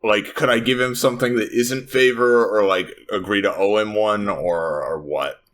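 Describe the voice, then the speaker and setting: A man playing a tabletop roleplaying game, casually thinking aloud in a tentative, halting way and repeating words. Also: lowish voice, a bit bright. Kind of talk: casual improvised game talk.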